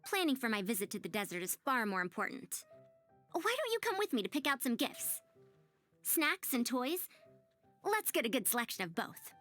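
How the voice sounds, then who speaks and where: A woman's voice: fairly high pitch, sing-song, normal speed, a bit bright, soft background music. A woman playing a prim, proud but kindly young-sounding researcher in a video game, speaking formally and articulately in wordy, old-fashioned phrases. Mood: playfully exasperated. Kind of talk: scripted video game character dialogue.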